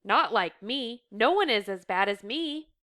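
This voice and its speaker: A woman's voice: a little high, lively intonation, unhurried, bright, forceful tone. A woman voicing an ashamed, broken middle-aged man in a stage drama, subdued and self-reproaching.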